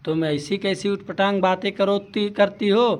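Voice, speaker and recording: A man's voice: high voice, steady tone, normal speed, lightly acted. A man playing an exasperated young husband caught between his wife and his mother in a comic sketch. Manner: scolding, exasperated. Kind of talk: comic family sketch acting. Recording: loud backing music.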